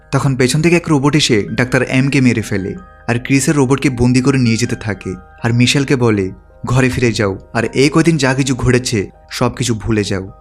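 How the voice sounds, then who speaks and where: A man reading scripted narration that retells a film's story as a recap narrator. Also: mid-range voice. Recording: background music under the voice. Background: music.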